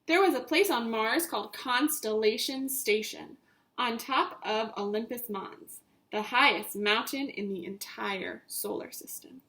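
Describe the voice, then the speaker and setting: Slow, high-voiced woman teacher reading aloud. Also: fairly bright tone. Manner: simple, instructive phrasing with repetition for small children. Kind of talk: read-aloud story lesson for young children.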